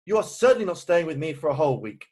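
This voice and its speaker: Casting: a man as stern, easily offended gentleman in a stage comedy read-through, higher-pitched, rough-edged voice. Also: very animated, rapid-fire. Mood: stern, irritated. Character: stern, indignant, proper young gentleman. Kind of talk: amateur play read-through. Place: at home on a video call. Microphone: video call.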